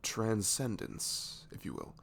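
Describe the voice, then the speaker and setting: A man playing a goofy young best friend in an ASMR roleplay, halting and mock-professional. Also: fairly low pitch, huge pitch swings, vocal fry, fairly bright tone, slight background noise, heavily acted. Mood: awkward, mock-formal.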